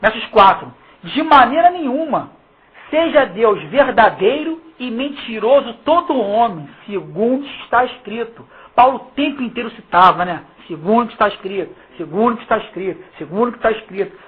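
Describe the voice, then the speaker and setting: Husky, slangy Carioca preacher on muffled audio. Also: medium pitch, expressive, moderate pace. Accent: Carioca Brazilian Portuguese. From Rio de Janeiro, Brazil. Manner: emphatic, slangy, mocking, with rhetorical questions to listeners. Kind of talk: informal Bible exposition, reading verses and commenting. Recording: muffled, phone-like lo-fi sound.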